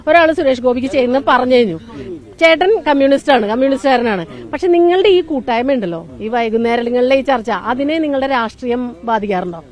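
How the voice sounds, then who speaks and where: Woman, TV reporter, rather high voice, rapid pace, informal, inquisitive, outdoor interviews. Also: animated. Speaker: TV news reporter. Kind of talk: casual on-location vox-pop interviewing and commentary. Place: outdoors under a shade tree at a public ground.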